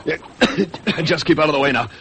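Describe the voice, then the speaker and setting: An actor playing a gruff, weary boat passenger in a halting, curt way, in 1950s radio drama style. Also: male voice, a little high, close to an everyday voice. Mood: choking, urgent. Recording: noisy old radio recording.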